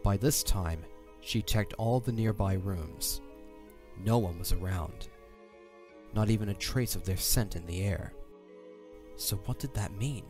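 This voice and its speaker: A man narrating an audio drama from a script as the storyteller. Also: lowish voice. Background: soft music.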